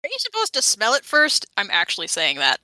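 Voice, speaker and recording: A woman's voice: medium pitch, huge pitch swings, brisk, bright, forceful tone, no room sound. A woman playing in a tabletop game, chatting casually.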